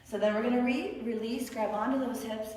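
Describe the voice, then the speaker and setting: Raspy, encouraging woman yoga teacher, echoey. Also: a little high, fairly even intonation, fairly quick, dark tone. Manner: encouraging, upbeat and playful cues. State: tired from many classes. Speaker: yoga instructor. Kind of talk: guided yoga class instruction. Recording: echoey room with long reverb, voice from far off the mic.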